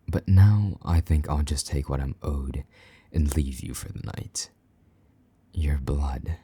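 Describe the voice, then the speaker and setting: A man playing a possessive, condescending vampire knight in an intimate roleplay, taunting and commanding in a husky, breathy half-whisper. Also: a bass voice, mellow, exaggerated voice. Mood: cold, hungry. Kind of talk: intimate roleplay audio drama.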